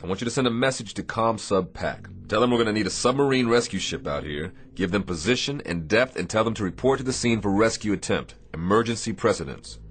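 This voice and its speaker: A man's voice: lowish voice, lively intonation, quick pace, hardly any pauses, a little hiss or hum, close to an everyday voice. A man playing a grim, decisive destroyer captain in a radio drama, commanding and clipped.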